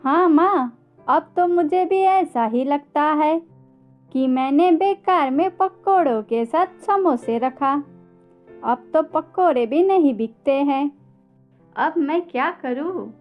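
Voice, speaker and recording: Woman playing a high-pitched earnest little cartoon bird. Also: animated, takes it slowly, darkish tone, faint music behind. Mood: worried. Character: earnest, worried young female bird shopkeeper.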